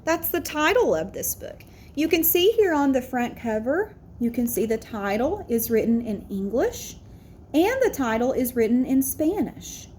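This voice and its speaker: Female teacher for children's read-aloud: high voice, simple explanatory delivery, somewhat noisy recording. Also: big pitch swings, a little slow, darkish tone. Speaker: teacher reading a picture book aloud.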